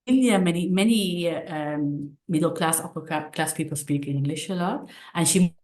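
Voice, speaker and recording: A middle-aged woman with a slightly low voice talks casually about her own life as a guest. She moves haltingly, repeating words and restarting her sentences. The recording has a clearly roomy echo. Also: darkish tone. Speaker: podcast guest, author. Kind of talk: casual personal storytelling.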